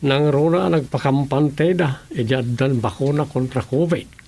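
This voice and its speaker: A man's voice: mid-range voice, measured pace, creaky, warm tone. A man playing a world-weary village official in a conversational radio drama style. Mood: matter-of-fact. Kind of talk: radio drama dialogue in Ilocano.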